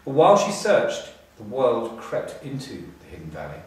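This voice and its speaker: A middle-aged man narrating a children's storybook in a measured, expressive way with pauses. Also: medium pitch. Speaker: storybook reader, school staff. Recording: echoey room, distant mic.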